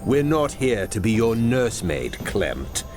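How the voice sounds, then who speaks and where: Scornful, animated witch hunter. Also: medium pitch, unhurried, soft background music. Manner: blunt, dismissive. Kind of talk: video game character acting.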